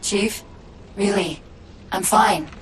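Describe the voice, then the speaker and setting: An actress playing a caring, wry female AI companion who is quietly failing, in video game cinematic style. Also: medium pitch, extremely slow, slight background noise, poor audio. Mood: reassuring.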